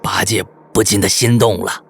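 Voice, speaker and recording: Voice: sits low, fairly even intonation, moderate pace, creaky, a bit bright, breathy edge. A storyteller giving dramatic storybook narration in a folksy, traditional tale-telling manner.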